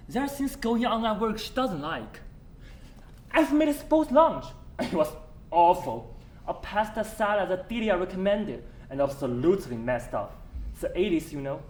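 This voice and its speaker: An actor playing an older man, tender and earnest, who speaks haltingly in a stage play. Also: high-pitched. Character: elated, tender old man recalling his lost love. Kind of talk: stage acting. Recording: roomy stage sound with noise.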